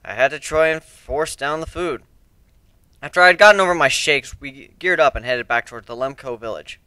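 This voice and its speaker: A man's voice: on the high side, brisk, bright, forceful tone, clean tone, no echo. A man giving an amateur dramatic reading of a scripted first-person fantasy story.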